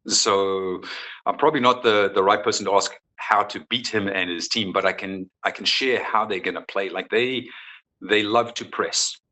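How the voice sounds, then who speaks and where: A man's voice: lowish voice, pushed and bright, low-quality recording. A man chatting as a football coach in a sports interview, emphatic and repeating his phrases. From South Africa. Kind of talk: casual sports interview chat.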